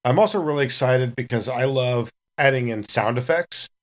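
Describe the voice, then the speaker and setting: A man's voice: mid-range voice, moderate pace. A man chatting casually on a podcast about his projects. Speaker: podcast cast member and producer.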